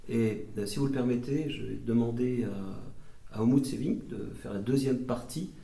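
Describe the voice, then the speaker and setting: A man's voice: mid-range voice, moderate pace, creaky, dark tone, echoey room. A man hosting a formal masterclass interview, effusive and complimentary, with frequent fillers. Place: lecture room.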